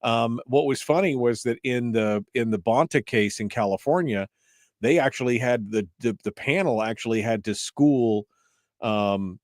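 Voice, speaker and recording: A man's voice: medium pitch, moderate pace, no echo. Male talk radio host, dry close sound. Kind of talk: political talk radio conversation.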